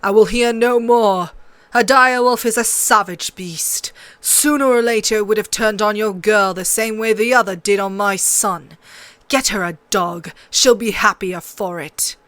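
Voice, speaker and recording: Woman as middle-aged king, gruff, irritable, brusque, commanding, audiobook character. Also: mid-range voice, measured pace, fairly bright tone, no room sound, lightly acted. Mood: irritated, final.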